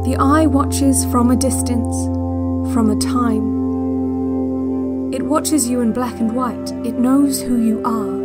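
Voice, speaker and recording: Slow, hypnotic relaxation-tape woman over music. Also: fairly high pitch. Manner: measured, deliberate, soothing instructions with long pauses. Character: calm, eerily watchful woman guiding a relaxation cassette.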